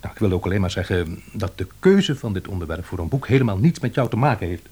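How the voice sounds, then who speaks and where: An actor playing a bumbling, earnest male scholar in a Dutch radio drama, husky, hesitant, wordy and apologetic. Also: sits low, lively intonation, fast, darkish tone. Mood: awkward, apologetic.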